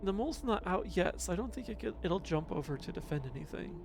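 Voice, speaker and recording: Man, mixed accent, fairly high voice, slow musing game talk, soft chuckles. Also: animated, creaky, music in the background. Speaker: streamer. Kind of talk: casual game commentary.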